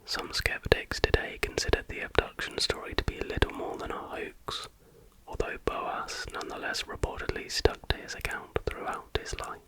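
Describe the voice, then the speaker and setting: A man whispering in a breathy, unvoiced ASMR style, reading stories aloud as a host. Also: normal speed, rough recording. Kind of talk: whispered ASMR storytelling, reading with casual asides.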